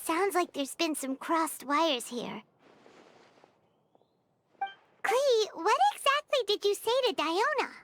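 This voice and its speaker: Squeaky, chirpy little fairy companion. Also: female voice, lively intonation, unhurried, background noise. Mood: puzzled. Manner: chirpy, exclamatory, speaks of herself in third person. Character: tiny excitable floating female fairy companion, chirpy and childlike. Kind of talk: animated video game cutscene acting.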